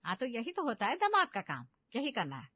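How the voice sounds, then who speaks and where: महिला द्वारा टोकती, झुंझलाई अधेड़ माँ. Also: female voice, on the high side, huge pitch swings, brisk, dry recording. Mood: insistent. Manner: nagging, instructing. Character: exasperated, scheming middle-aged mother of the groom. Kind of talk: animated Hindi comedy story dialogue. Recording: dull, lo-fi studio voice-over.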